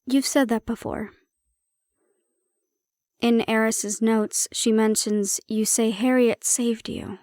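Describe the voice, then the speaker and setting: A woman acts out a female handler in an audio roleplay, prickly on the surface and caring underneath. Her voice sits a little high and is recorded dry and close. She talks one-to-one in a measured, probing manner with a dry edge. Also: steady tone, a little mellow, dry recording. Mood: curious, probing. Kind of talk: intimate audio roleplay acting, one-sided conversation.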